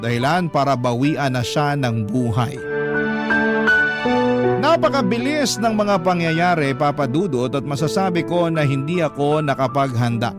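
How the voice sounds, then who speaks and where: Man narrating a letter over music. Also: mid-range voice. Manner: calm, measured, first-person storytelling. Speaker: narrator reading a listener's letter. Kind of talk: scripted radio narration. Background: background music.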